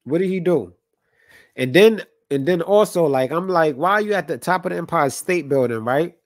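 A man's voice: on the high side, lively intonation, normal speed, no room sound, studio quality. A man in his thirties hosting a livestream, chatting with opinions and reading chat comments, a little stuffy from a cold. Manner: conversational, opinionated, reads chat comments aloud. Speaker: boxing livestream host. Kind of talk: casual talk with live chat. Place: home room with colored lights.

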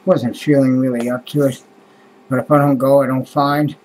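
A man's voice: mid-range voice, flat delivery, moderate pace, a little mellow, low-quality recording. A man in his fifties from Canada, rambling and sighing to his webcam in a small room at night, swearing often and trailing off. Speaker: vlogger. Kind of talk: casual rambling vlog. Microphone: webcam close to face.

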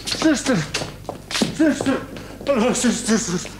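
An actor playing a sick, desperate male patient in a radio play, weary, plaintive and halting. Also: extremely high pitch, lively intonation, slightly rough, full character voice. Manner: halting, plaintive, repeating words.

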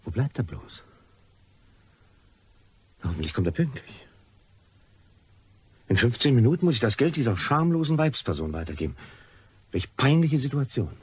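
An actor playing a nervous, apologetic man in a German crime radio play, with a very husky, breathy voice, anxiously thinking aloud. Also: medium pitch, very animated, normal speed, long pauses. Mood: anxious, tense. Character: nervous, apologetic man waiting to hand over money.

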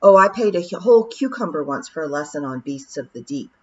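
A woman's voice: low voice, expressive, brisk. A woman voicing a determined, clever young girl, firm and insistent. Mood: earnest. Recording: dull lo-fi audiobook recording.

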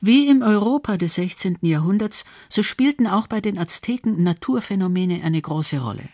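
A woman's voice: mid-range voice, lively intonation, moderate pace, a little mellow, no echo. Woman narrating a documentary, dry studio sound. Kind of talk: scripted radio documentary narration.

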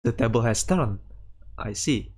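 A streamer giving casual, halting commentary while playing a visual novel and reading it aloud. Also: mid-range voice, animated, a little mellow, slightly rough. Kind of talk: casual game commentary mixed with read-aloud dialogue. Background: guitar music from the game.

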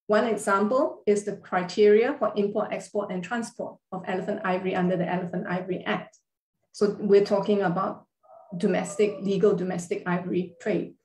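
A woman lectures over a video call, at a middling pitch and a measured pace, explaining legal points step by step. Her voice carries a roomy echo from a small room. She pauses now and then with small fillers as she reads through her slides. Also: fairly even intonation, darkish tone. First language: not Thai.

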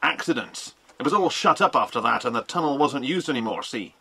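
A man's voice: mid-range voice, expressive, fairly quick, vocal fry, pushed and bright, husky, heavily acted. An actor with a British accent playing a stern, shifty stepfather who gives insistent, ominous warnings in a children's audio drama.

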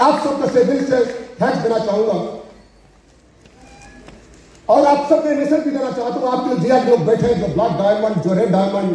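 A man's voice: very high voice, quick pace, warm tone, a little hiss or hum. A middle-aged man with an Odia accent gives a rousing motivational stage speech, mixing Hindi and English and calling for applause. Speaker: motivational speaker at a business seminar. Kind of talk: stage speech to a large audience. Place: large auditorium stage. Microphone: handheld mic heard from far off. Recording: echoey hall, distant mic, crowd behind.

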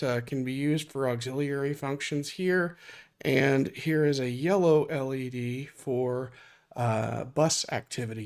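A hoarse man recovering from illness, casually walking through a live hardware demo at his desk with lots of fillers. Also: medium pitch, a little mellow. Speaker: open-source hardware project lead. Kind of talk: casual screen-recorded tech demo. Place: home desk.

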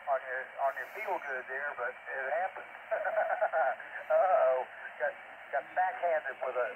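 A man's voice: mid-range voice, slow pace. A man chatting casually as a ham operator over a two-way radio. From United States. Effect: two-way radio transmission. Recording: narrow, phone-like radio audio with static.

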